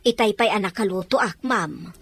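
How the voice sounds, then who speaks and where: An actress with an Ilocano accent playing a deferential house servant who is anxious to please, in a drama. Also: female voice, mid-range voice, normal speed, husky, no echo. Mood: obedient.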